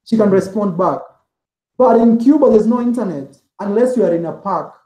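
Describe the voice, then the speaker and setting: A man's voice: high-pitched, lively intonation, moderate pace, dark tone. Rambling man in an echoey room. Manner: rambling, heavy use of "like" and "man". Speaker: interview guest, travel vlogger. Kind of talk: casual interview chat.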